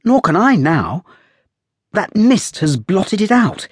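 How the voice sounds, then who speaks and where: Man reading as a spirited young English lady, playful and high, breathy tone, dry close sound. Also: very animated, takes it slowly, dry recording, heavily acted. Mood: teasing. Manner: bright, playful, raised pitch. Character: young English woman passenger, lively and teasing.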